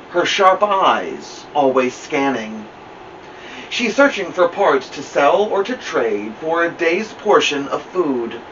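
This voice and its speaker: A middle-aged man reading a children's picture book aloud as a storytime host, with dramatic, deliberate delivery. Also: a little high, fairly bright tone. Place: indoor room at home, seated by a plant. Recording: muffled, noisy, distant camera mic.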